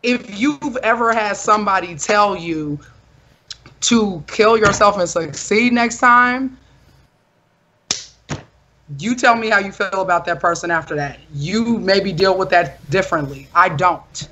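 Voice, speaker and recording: A young woman with a deep, loud voice, arguing firmly and defensively in a casual talk show. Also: unhurried, slight background noise. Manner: firm, defensive, insistent. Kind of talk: heated casual argument.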